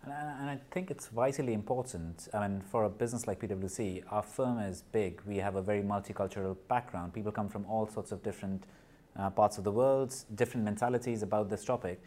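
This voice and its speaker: A man who grew up in Nepal and India speaks as a guest in a podcast interview. His voice sits slightly low. He talks earnestly and often stops to restart a phrase or repeat a word as he gathers his thoughts. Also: a little fast, mellow. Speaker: guest, corporate partner and mental health advocate.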